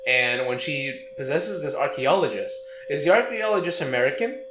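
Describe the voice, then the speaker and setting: A young man with a fairly high voice making amused, nitpicky remarks. The room is echoey, and a clear hum runs underneath. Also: expressive, normal speed. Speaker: podcast co-host. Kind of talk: casual chat.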